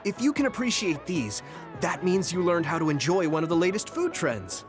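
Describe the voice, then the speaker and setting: An earnest young video game soldier, fairly high in pitch with lively intonation. He is gentle, kind and eager to help, and music plays behind his lines. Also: moderate pace. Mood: friendly, upbeat. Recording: background music over dialogue.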